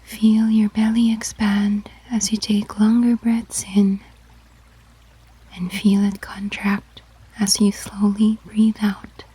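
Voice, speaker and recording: Female meditation guide, ASMR style: whispery and very breathy, mellow tone, very slow gentle pacing. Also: medium pitch, level tone. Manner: slow, gentle, measured instructions.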